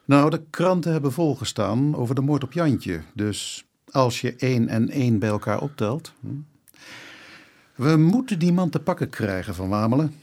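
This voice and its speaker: An actor playing a commanding, dry police inspector in a radio drama, terse and authoritative. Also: male voice, medium pitch, expressive, normal speed, darkish tone. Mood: rueful, thoughtful.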